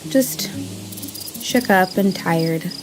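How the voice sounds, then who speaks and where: A woman's voice: fairly low pitch, very slow, faint music behind. A woman playing an earnest, anxious, lovestruck young runaway woman in an audio drama. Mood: nervous, earnest. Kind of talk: live-streamed audio drama acting.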